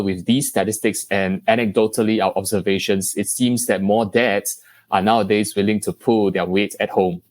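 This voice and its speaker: A man hosting a podcast, reading a scripted introduction in a measured, polished way. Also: on the low side. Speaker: podcast host.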